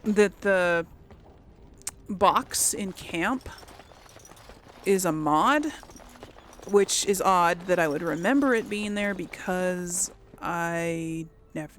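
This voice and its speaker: Slow, creaky-voiced woman doing game commentary. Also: on the low side, big pitch swings, a little hiss or hum. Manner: musing aloud, rambling to viewers. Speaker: gaming video host. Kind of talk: casual let's play commentary.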